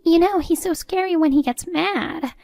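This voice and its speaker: A woman's voice: very high voice, normal speed, vocal fry, darkish tone, dry recording, voice pushed up. A woman voicing a haughty, meddling middle-aged mother-in-law in a story, agitated and indignant. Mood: panicked.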